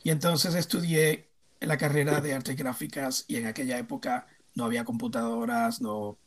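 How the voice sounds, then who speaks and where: Un hombre de unos cincuenta años con acento puertorriqueño, invitado en una entrevista, que habla de forma reflexiva y pausada, con muchas muletillas. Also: male voice, fairly high pitch, expressive. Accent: Puerto Rican. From Puerto Rico. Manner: reflective, hesitant, many fillers. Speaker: guest, theme park designer. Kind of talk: casual interview answers.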